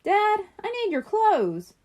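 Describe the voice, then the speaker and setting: A woman's voice: very high-pitched, huge pitch swings, slow, darkish tone, highs cut off, pitched above the performer's own voice, full character voice. A woman voicing an eager, cheerful young boy in a children's audiobook. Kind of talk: children's audiobook character dialogue.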